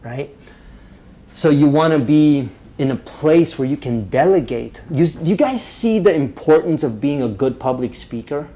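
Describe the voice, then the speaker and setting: Male workshop instructor, upbeat and pitched a touch high, keeps checking in with the room. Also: measured pace. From Romania. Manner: energetic, checks in with "right?" constantly. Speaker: public speaking instructor. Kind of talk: live workshop talk to a group.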